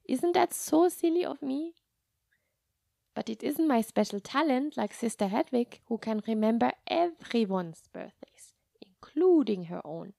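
A woman voicing a kind, patient nun in a children's story, speaking softly and reassuringly with gentle humor. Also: on the high side, lively intonation, creaky, no echo, clean studio sound, heavily acted. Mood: encouraging. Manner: soft, measured, reassuring.

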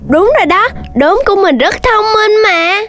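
An actor voicing a sensible, slightly bossy and caring young rabbit in a children's cartoon, earnest and quick to scold. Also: extremely high pitch, lively intonation, a bit bright, faint music behind. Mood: curious, caring. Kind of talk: children's cartoon dubbing in Vietnamese.

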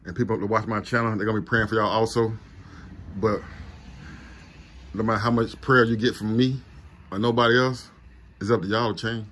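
A man hosting a casual street interview, talking fast with repeated words and encouraging, preachy asides. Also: lowish voice, fairly even intonation, a bit bright, slight background noise. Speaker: street interviewer and channel host.

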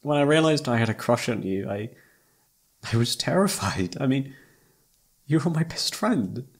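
Young male best friend played by a man, romantic audio roleplay. Husky with vocal fry, intimate, emotional, halting, self-reproachful. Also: medium pitch, lively intonation. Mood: regretful.

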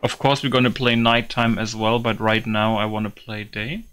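Halting man with a bright voice. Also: lowish voice, little pitch movement, clear, resonant tone. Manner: halting, repetitive, thinking aloud. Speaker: gaming video host. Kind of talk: casual gameplay commentary.

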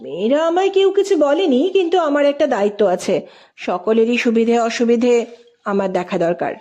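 A woman's voice: a little high, animated, normal speed, dark tone, close to an everyday voice. A woman voicing a guarded, formal young female hostel warden in a story reading. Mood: stiff, formal. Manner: formal, reserved.

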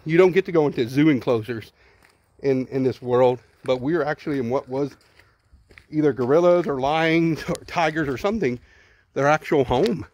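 A man's voice: on the high side, normal speed, a little mellow, dull audio. Chatty Texan man filming a walk outdoors. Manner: chatty, thinking aloud, repeats words. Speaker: vlogger exploring ruins. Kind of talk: casual walking tour narration. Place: outdoors at a ruined zoo. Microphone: handheld phone camera.

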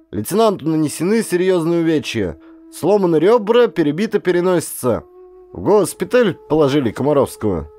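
A man's voice: on the high side, mellow, voice pushed up. A man voicing a servile naval secretary who gives a formal, obsequious military report to his superior in an audiobook.

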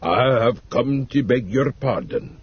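A man voicing a stubborn, gaunt ninety-year-old husband who speaks in a booming, gruff, drawn-out way. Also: lively intonation, no room sound. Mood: stiffly contrite. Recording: dull lo-fi recording.

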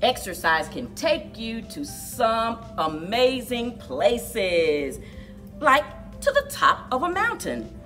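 A middle-aged woman speaking to young children as a story time host, with exaggerated, drawn-out storyteller delivery. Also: a little high, very animated, a bit bright, distant mic, soft background music. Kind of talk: expressive picture-book read-aloud and leading action activity for children. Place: indoors, children's library room.

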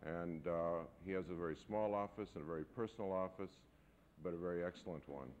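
Deep-voiced man introducing a guest speaker. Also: darkish tone. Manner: measured, halting, with frequent uh pauses. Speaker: architecture school host introducing a guest speaker. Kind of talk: formal lecture introduction. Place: lecture hall. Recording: dull, faintly noisy 1970s videotape.

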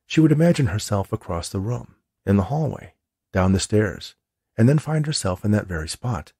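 A man's voice: fairly low pitch, expressive, moderate pace, gravelly, dark tone, husky, no echo, clean studio sound. A man who is an author, narrating a chapter of his own story from the page. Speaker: author reading own book.

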